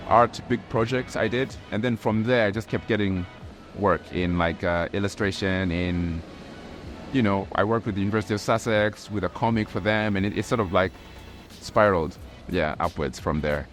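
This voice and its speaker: A young man from Tanzania speaks in a fairly low voice, reflective and unhurried, often restarting his sentences. Faint music plays quietly underneath him. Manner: relaxed, reflective, with frequent restarts and "like". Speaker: graphic artist. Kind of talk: casual interview talk.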